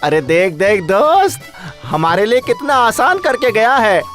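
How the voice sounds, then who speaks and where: Short bumbling male thief for a cartoon played by a woman, lively swings, grumbly and bossy. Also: on the high side, unhurried, faint music behind. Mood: smug, eager. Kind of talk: comic cartoon story acting.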